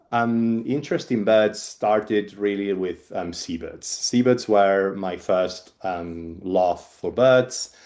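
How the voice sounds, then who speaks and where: A man's voice: on the low side, a little mellow. A man from Catalonia in his thirties with a Spanish accent, giving a webinar talk as a biologist, hesitant with many ums and restarts. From Spain, Catalonia. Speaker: guest speaker, evolutionary biologist. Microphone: video call.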